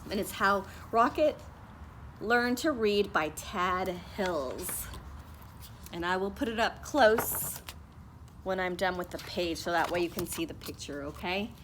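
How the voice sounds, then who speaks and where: A woman's voice: lowish voice, animated, unhurried, fairly bright tone, slight background noise. A woman teacher leading a morning meeting for young children, singing the days and reading a story aloud in a sing-song, patient way.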